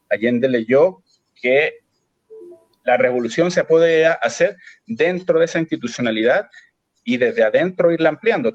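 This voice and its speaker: A man's voice: mid-range voice, a little mellow, dry recording, highs cut off. A Chilean man speaking as a guest in a political discussion, thinking aloud with many hesitations and restarts over a video call. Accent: Chilean Spanish. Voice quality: halting, many fillers and restarts.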